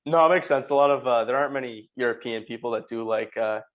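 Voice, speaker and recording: Fast-talking young male podcast host. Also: mid-range voice, lo-fi sound. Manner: friendly, quick, restarts phrases with "uh". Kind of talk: casual interview hosting.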